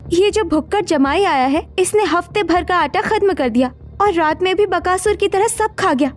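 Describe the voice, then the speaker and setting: A woman's voice: very high voice, animated, normal speed, soft background music. A woman voicing an overworked, exasperated young daughter-in-law in a cartoon, complaining indignantly.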